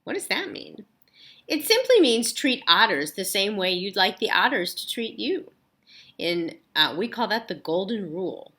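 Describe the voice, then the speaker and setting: A woman's voice: medium pitch, very animated, moderate pace, sharp tone. A woman teacher casually leading a children's read-aloud lesson, explaining and checking in with questions. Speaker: elementary school teacher.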